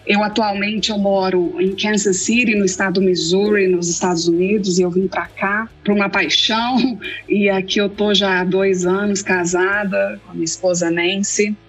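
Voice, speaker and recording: A woman's voice: mid-range voice, little pitch movement, moderate pace, slightly rough, faint music behind, poor audio. A woman in her forties from Belo Horizonte with a Minas Gerais accent, answering interview questions in a thoughtful, storytelling way. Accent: Minas Gerais Portuguese. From Belo Horizonte, Brazil. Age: 40s. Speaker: guest theologian.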